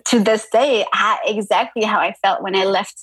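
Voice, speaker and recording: A woman's voice: mid-range voice, fairly even intonation, normal speed, a bit bright, slightly rough, no room sound. A young woman with an accent mixing French and Spanish, a yoga teacher talking thoughtfully as a podcast guest, with many fillers and restarts. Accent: French and Spanish influenced. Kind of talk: casual interview chat.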